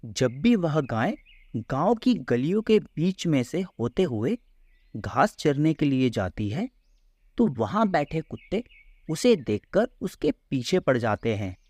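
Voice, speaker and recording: A man's voice: a little high, very animated, slow pace, a little mellow, dry recording. A man narrating a scripted children's story as a storyteller in a close voice-over.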